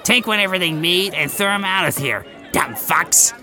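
A woman's voice: fairly low pitch, lively intonation, moderate pace, pushed and bright, faint music behind, some chatter behind. A woman voicing a brash, foul-mouthed metal armadillo who announces acts as a club emcee in a comedic audio story. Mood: gruffly dismissive. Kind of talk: comedic audio drama acting.

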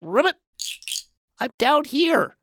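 An actor as a croaking, wildly swooping talking frog. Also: high voice, big pitch swings, slow pace, a bit bright, no room sound, studio quality, exaggerated voice. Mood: insistent, inquiring. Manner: punctuates speech with croaked ribbits. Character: talking frog, well-schooled, matter-of-fact, slightly smug male. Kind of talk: audio storybook drama.

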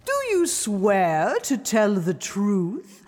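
A woman playing a haughty, relentless prosecutor in an audio drama, with pompous, drawn-out accusations. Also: mid-range voice, big pitch swings, darkish tone. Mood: accusing. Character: haughty, relentless courtroom prosecutor in an inner trial.

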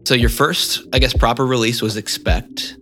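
A man interviewing a singer, asking curious, relaxed questions with plenty of fillers. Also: medium pitch, moderate pace, faint music behind. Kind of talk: casual interview.

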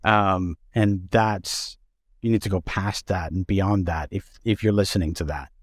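A man speaks in a fairly low voice as an interview host. His delivery is casual and halting, often searching for the next word. The sound is dry, without room echo. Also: steady tone.

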